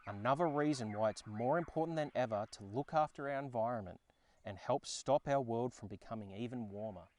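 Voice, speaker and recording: An Australian man narrating a children's storybook in a dramatic, expressive way. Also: mid-range voice, brisk. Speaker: storyteller and host. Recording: background ambience and effects noise. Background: sound effects and ambience.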